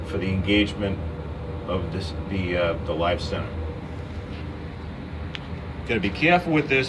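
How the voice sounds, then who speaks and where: A man giving an informal, step-by-step hands-on demonstration as a machine shop instructor. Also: lowish voice. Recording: distant mic, noisy machine shop hum.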